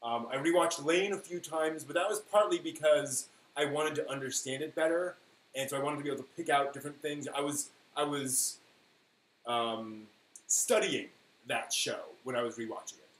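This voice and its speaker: A man's voice: fairly high pitch, a bit bright. Man chatting casually in an echoey room. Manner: halting, thinking aloud, emphatic on key words. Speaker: live stream host. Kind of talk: casual rambling chat, answering viewers. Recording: echoey room with faint noise.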